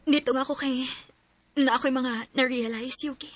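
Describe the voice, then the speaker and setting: A woman's voice: high-pitched, fairly even intonation, moderate pace, no echo. A woman with a Filipino accent acting a guilty, sorrowful mistress in a radio drama. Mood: pleading. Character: guilty, sorrowful mistress ending a secret affair.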